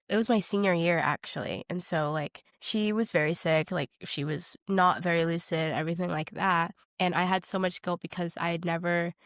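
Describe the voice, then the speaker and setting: A woman's voice: fairly low pitch, dry recording, studio quality. A young woman co-hosting a podcast, talking about films in a casual, candid way with youthful slang. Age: young adult. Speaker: podcast co-host. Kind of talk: casual film discussion chat.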